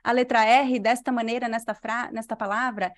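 Clear-spoken Brazilian woman teacher. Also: fairly high pitch, fairly even intonation. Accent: Brazilian Portuguese, mixed regional. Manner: clear, unhurried diction, completes every phrase. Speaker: Portuguese teacher, guest. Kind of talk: conversational interview answers.

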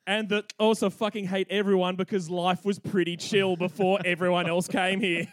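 A man's voice: high-pitched, level tone, moderate pace, no room sound. Cheeky man telling history jokes. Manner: cheeky, irreverent, laughs often. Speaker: podcast host. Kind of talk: comedic history storytelling.

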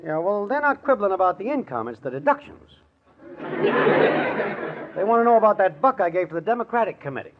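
An actor playing a breezy, shiftless, slangy city boyfriend in a 1940s radio comedy. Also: male voice, high voice, big pitch swings, normal speed, long pauses. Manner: slangy, confidently ignorant. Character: breezy, shiftless city wise-guy boyfriend, cheerfully ignorant. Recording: muffled noisy old radio, audience.

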